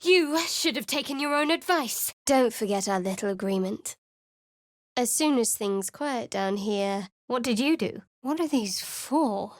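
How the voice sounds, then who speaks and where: An actress voicing a guarded, sharp-tongued young female cleric in a video game, with a dry, clipped delivery. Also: a little high, expressive, measured pace, no room sound. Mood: guarded, wry. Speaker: game character.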